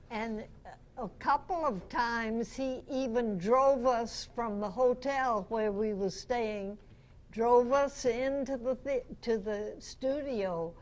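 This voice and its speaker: A woman in her nineties, a guest reminiscing in an unhurried, anecdotal way before a club audience. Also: fairly high pitch. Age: 90s. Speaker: guest, veteran radio actress and singer. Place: club meeting room with audience. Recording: noisy live meeting-room recording.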